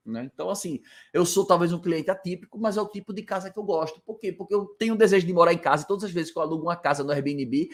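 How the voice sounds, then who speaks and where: A man with a Brazilian Portuguese accent who teaches informally to camera from indoors in a rental house, explaining a point and then answering his own rhetorical questions. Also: high voice. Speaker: hospitality business coach.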